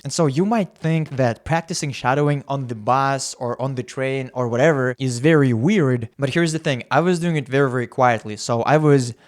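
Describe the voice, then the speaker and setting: A man's voice: medium pitch, a little mellow. A young Russian man whose first language is Russian, speaking English with an American-sounding accent in a chatty, candid vlog. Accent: American-sounding English learned as a second language. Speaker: language-learning YouTuber. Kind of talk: casual talking-head vlog.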